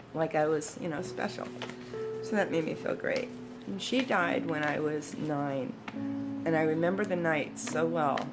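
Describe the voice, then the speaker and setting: Low-voiced older American woman reminiscing over music. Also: poor audio. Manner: reflective, conversational. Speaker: storytelling vlogger. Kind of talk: personal reminiscing, casual storytelling.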